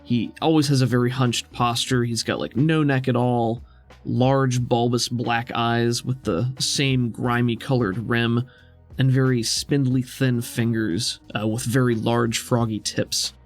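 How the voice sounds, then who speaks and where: A man's voice: medium pitch, fairly even intonation, a little slow. A man narrating a tabletop roleplaying game as game master, conversational and vividly descriptive. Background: faint music.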